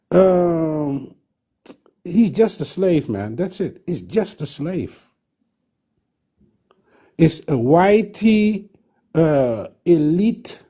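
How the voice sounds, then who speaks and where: A man from Guyana whose first language is Dutch, calling in to a radio show and talking haltingly with many fillers. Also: sits high, dark tone. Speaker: caller. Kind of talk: call-in radio chat.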